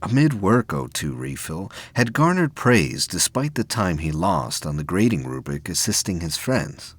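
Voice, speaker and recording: A very low, husky man narrates a science fiction audio story in a close, dry recording. He reads scripted prose as the storyteller, with wide swings in pitch. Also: sing-song, unhurried, no room sound.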